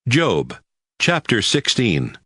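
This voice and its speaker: A man's voice: medium pitch, huge pitch swings, takes it slowly, sharp tone, breathy edge, dry recording. A man narrating scripture in a scripted, announcing style, introducing chapters and speakers.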